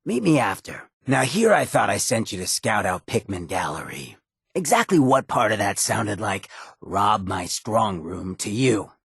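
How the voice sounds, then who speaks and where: Game role: cool outlaw ghoul mayor, American, very husky rasp, lively swoops in pitch, slangy swagger. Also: male voice, unhurried, no room sound, studio quality, full character voice. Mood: cool, wry. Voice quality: raspy, gravelly. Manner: swaggering, laid-back, slangy streetwise drawl. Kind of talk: video game character dialogue.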